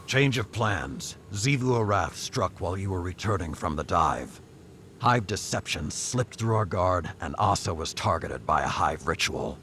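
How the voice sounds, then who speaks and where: An actor playing a stern old warlord in a video game, gruff, formal and commanding. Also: male voice, low voice, animated, measured pace, a bit bright, slight background noise. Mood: grave, urgent. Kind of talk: dramatic video game voice acting. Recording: game dialogue over background music.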